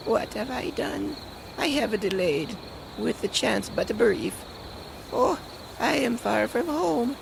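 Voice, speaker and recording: Old creaky lady, stammery and worn out, high and slow. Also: noisy background, full character voice. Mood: despairing. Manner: halting, stammering speech. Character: weary old woman wanderer, humble and devout. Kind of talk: audio drama acting. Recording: music under the voice.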